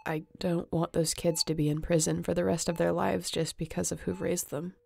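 A woman playing an earnest, emotional space captain in a sci-fi audio roleplay, tender and halting. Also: fairly low pitch, level tone, creaky, warm tone. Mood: gentle, reassuring. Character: woman space captain, tender, guilt-ridden, devoted to her crewmate.

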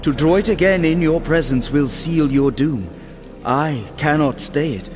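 An actor playing a proud, stern elf prince in an audio drama, speaking with formal, commanding gravity. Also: male voice, a little high, measured pace, lightly acted. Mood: solemn, calm. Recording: echoing cavern reverb with music.

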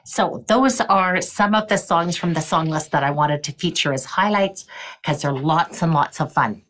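An older woman music educator leading a webinar demonstration, her voice croaky, often clearing her throat and counting beats aloud. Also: deep voice, moderate pace, fairly bright tone.

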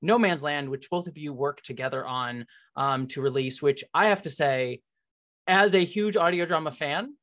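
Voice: medium pitch, moderate pace, a bit bright. Effusive, rambling interview host. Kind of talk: enthusiastic fan interview, casual chat. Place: indoor room on a video call.

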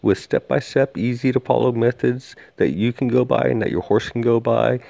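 A calm, plain-spoken man explains horse training from the saddle, his mellow voice caught by a head-mounted action camera outdoors. He talks at an easy pace with plenty of fillers. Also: medium pitch, moderate pace, creaky, no echo. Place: outdoors on a wooded trail, riding a horse.

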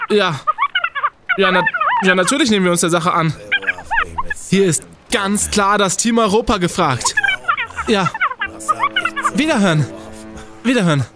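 Man playing a high-voiced, lively young detective leader. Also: measured pace, pauses often, over loud music. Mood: polite, businesslike. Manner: jaunty, commanding, quaint sailor slang. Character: young male student detective, jaunty nautical team leader. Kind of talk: German audio drama acting.

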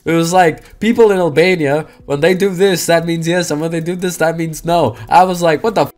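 Excitable young man streaming a game. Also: fairly high pitch, fairly quick. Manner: loud swearing outbursts, excitable. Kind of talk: casual gaming commentary. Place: small room indoors. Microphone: headset.

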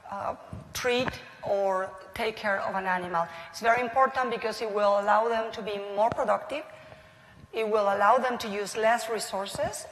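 A middle-aged woman from Mexico with a Spanish-tinged accent, working through her points on a panel at a calm, listing pace. Her voice sits in a middle register. A lapel mic carries it, with a long reverb hanging in the large hall. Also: mid-range voice, slight background noise. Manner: measured, listing points with frequent ums. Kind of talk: panel discussion. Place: conference stage in a large hall.

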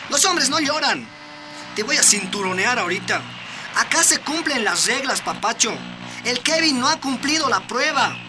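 A man playing a bullying, macho teenage gang leader with a Bolivian accent, slangy, commanding and taunting. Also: very high-pitched.

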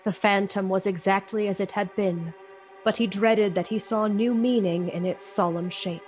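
A woman narrator telling a story in a radio play, with measured, solemn, literary delivery. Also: mid-range voice, fairly even intonation, a little mellow, soft background music. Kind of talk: dramatic scripted storytelling narration.

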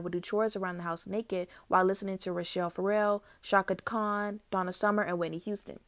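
A woman's voice: mid-range voice, no echo. Woman reading a biography, dry sound. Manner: reads steadily, with small restarts. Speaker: YouTube host. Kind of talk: read-aloud biography narration.

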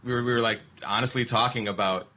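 A man's voice: mid-range voice, steady tone, quick pace, bright, forceful tone. A middle-aged man hosting an interview podcast, talking casually, laughing often and restarting his sentences. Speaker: podcast host and producer.

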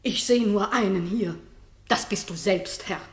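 A woman's voice: mid-range voice, unhurried, close to an everyday voice. An actress playing an outspoken, indignant lady-in-waiting in a bold, declamatory 1960s radio drama style. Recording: roomy 1960s radio studio recording.

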